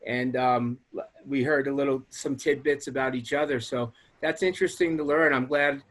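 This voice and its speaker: Animated man. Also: medium pitch, moderate pace. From Long Island, New York. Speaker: guest, recovery coach. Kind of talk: casual interview chat.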